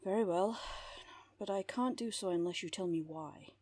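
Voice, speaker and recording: A woman playing a composed, wry underworld therapist with a husky voice and measured, formal delivery in an audio drama. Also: on the low side, dark tone, no room sound. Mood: firm, commanding. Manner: measured, dry, formal wording. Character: composed, wry female underworld therapist who makes people disappear. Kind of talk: audio roleplay drama acting.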